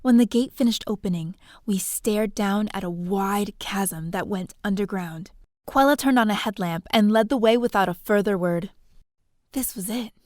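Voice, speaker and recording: A woman's voice: mid-range voice, moderate pace, dry recording, clean studio sound, lightly acted. A woman playing an eager, naive young adventurer who narrates her own story in an audio drama. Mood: narrating. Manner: animated, chatty, naive. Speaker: lead character and narrator.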